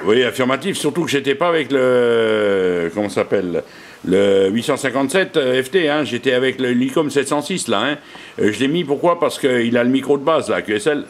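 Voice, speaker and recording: A man's voice: medium pitch, animated, moderate pace. Man chatting casually as a radio operator. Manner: colloquial, peppered with radio jargon. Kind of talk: casual radio contact chat.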